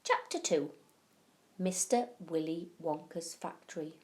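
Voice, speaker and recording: Slow-paced woman reading a children's story. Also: fairly low pitch, animated, a little mellow. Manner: deliberate, emphatic, storyteller phrasing with drawn-out key words. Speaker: primary school teacher reading to pupils. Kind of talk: expressive children's bedtime story narration.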